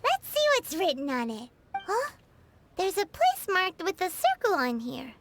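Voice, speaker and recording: A woman's voice: very high voice, wildly swooping pitch, unhurried, exaggerated voice. A woman voicing a tiny, childlike fairy companion in a video game, curious, greedy and full of exclamations. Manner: speaks of self in third person, gasping and exclaiming. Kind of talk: video game character acting. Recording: loud background music. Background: loud game music.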